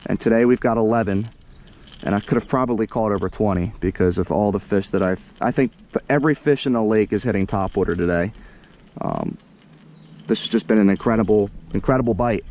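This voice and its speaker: An American man narrating his fishing trip from a kayak on a lake in casual, excitable commentary, talking straight to his viewers. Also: fairly low pitch, fairly quick. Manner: excitable, slangy, talks to viewers as "guys". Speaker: fishing vlogger. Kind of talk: casual running commentary to camera. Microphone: action camera mounted on the kayak.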